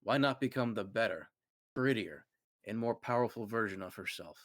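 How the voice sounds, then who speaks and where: A man's voice: fairly low pitch, moderate pace, dry recording, clean studio sound. A man narrating a comic fan fiction reading with dramatic storytelling flair. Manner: dramatic storytelling read aloud, occasional stumbles.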